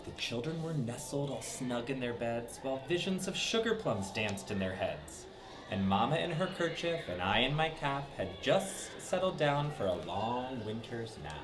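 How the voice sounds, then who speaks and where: A man's voice: medium pitch, moderate pace. Guy reading a bedtime story to kids, voice bouncing all over, lots of background hiss. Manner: lively, sing-song, with dramatic swoops. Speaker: storybook reader for children. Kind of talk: reading a rhyming story aloud.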